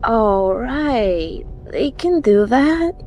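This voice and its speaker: A woman's voice: fairly high pitch, animated, drawn-out words, a little mellow, slight background noise. A young woman voicing an earnest, meek, girlish friend in a webtoon fan dub. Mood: sheepish, drained.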